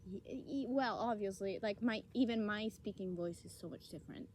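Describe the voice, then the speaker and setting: A woman's voice: medium pitch, normal speed, a little hiss or hum. A young woman from Italy with an Italian accent, co-hosting a casual chat, talking bluntly with plenty of "like" and some swearing. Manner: animated, blunt and swearing, with many "like" fillers. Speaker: podcast co-host.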